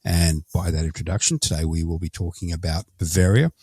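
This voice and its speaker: Low-voiced man hosting a podcast. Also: steady tone, brisk, a little mellow, breathy edge, dry recording. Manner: chatty, self-correcting questions. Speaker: podcast host. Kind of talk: casual interview.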